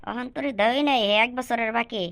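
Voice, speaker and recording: A woman's voice: a little high, fairly even intonation, quick pace, fairly bright tone. A woman voicing a cheeky, demanding school-age nephew who argues in rhyme in a comic cartoon. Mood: defiant. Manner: cheeky, argumentative, rhyming comebacks. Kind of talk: rhyming comic cartoon dialogue.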